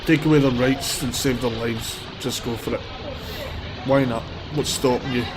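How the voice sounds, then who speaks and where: A man's voice: medium pitch, steady tone, moderate pace. Sniffly man streaming from a busy street. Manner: blunt, rambling, offhand. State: sniffly with a cold. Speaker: livestreamer. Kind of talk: live street commentary. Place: outdoors on a city street. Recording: loud street traffic behind. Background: traffic and vehicles.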